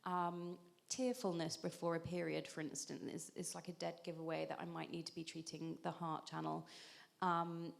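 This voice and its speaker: A woman's voice: lowish voice, little pitch movement, moderate pace, creaky. A woman in her thirties, an acupuncturist on a panel, explaining at length in a conversational way with frequent ums and restarts.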